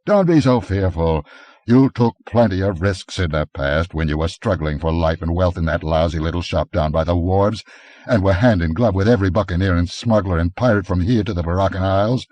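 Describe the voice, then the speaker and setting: A man reading an audiobook, voicing a brawny barbarian adventurer who speaks in a gruff, commanding way, a little higher and louder than his narration. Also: fairly quick. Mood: scornful.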